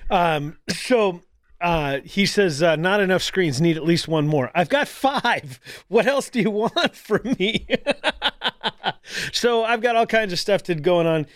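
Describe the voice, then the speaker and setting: A man hosting a talk show, chatting casually in a rambling way, full of ums and restarts. His voice sits a little high for a man. The studio sound is dry and close. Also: lively intonation, a little slow, dry recording. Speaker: podcast and radio show host. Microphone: studio microphone.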